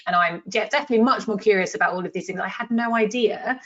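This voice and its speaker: A woman's voice: mid-range voice, fast, fairly bright tone. A woman hosting a webinar, thanking and introducing speakers in an encouraging way over a video call. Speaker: webinar host. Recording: muffled video-call audio.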